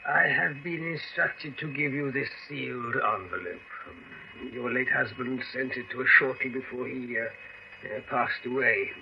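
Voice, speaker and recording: A man playing a formal, courteous solicitor in a radio drama, speaking in measured phrases on a muffled, noisy vintage recording. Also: medium pitch, gravelly. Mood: discreet, solemn. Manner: formal, measured, hesitant in places.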